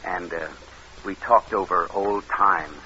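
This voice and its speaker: A calculating killer who tells his own story in a low, cold voice. It is vintage radio thriller acting, with a scheming edge. The recording is dull and heavy with noise. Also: steady tone, slow pace, bright, forceful tone, exaggerated voice. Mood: cold, matter-of-fact.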